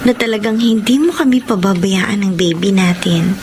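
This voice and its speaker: A woman acting a gentle, devoted young wife in a Filipino radio drama, respectful and eager to please. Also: mid-range voice, moderate pace, slight background noise, lightly acted. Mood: tender, grateful.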